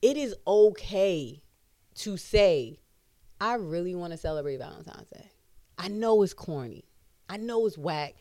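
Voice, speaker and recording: A woman's voice: on the low side, expressive, unhurried, warm tone, no echo, studio quality. An American woman in her thirties chatting casually as a podcast co-host, emphatic, slangy and given to swearing. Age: 30s. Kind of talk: casual opinionated chat.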